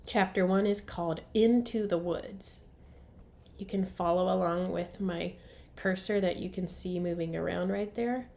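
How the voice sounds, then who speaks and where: Unhurried woman reading to young children. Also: fairly low pitch, gravelly, dark tone. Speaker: first grade teacher. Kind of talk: children's storybook read-aloud.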